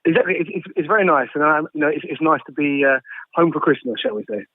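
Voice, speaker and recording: A man's voice: fairly high pitch, quick pace, dry recording. A polite, well-spoken man from Kent, giving an informal interview as an actor over the telephone. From Isle of Sheppey, Kent, England. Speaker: pantomime actor being interviewed. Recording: dry, band-limited phone line.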